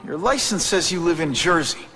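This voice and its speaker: An actor playing a young, principled superhero in a video game, conversational and wry. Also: male voice, a little high, animated, normal speed, pushed and bright, a little hiss or hum. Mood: calm, questioning. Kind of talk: video game cutscene acting. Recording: music under the voice.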